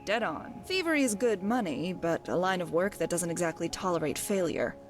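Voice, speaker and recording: A woman's voice: on the low side, animated, a little fast, lightly acted. Actress as female rogue companion for fantasy game: brash, wry, cocky, theatrical delivery, music underneath. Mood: wry, matter-of-fact. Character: brash, wry female thief, undying adventurer. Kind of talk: voiced game dialogue.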